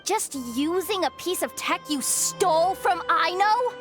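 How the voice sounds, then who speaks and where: A woman playing an alert young female adventurer in a video game, earnest, expressive and outspoken. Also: extremely high pitch, measured pace, over music. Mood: accusing. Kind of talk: animated video game voice acting.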